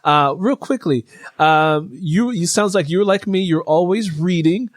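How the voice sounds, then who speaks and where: A man's voice: high-pitched, a little slow, dry recording. A man hosting an interview, chatting casually about books as an audiobook narrator who reads a great deal. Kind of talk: casual book chat.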